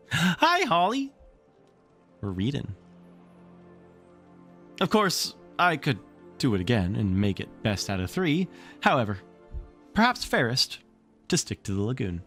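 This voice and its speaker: A man reading a children's story aloud on a livestream in an expressive, theatrical way, with casual asides to the chat. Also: mid-range voice, takes it slowly, long pauses, faint music behind.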